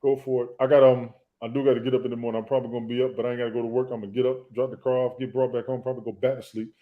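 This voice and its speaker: A man's voice: mid-range voice, level tone, rapid-fire, phone-quality sound. A man co-hosting a comedy podcast, talking in a laid-back, slangy way in casual banter. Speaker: podcast co-host.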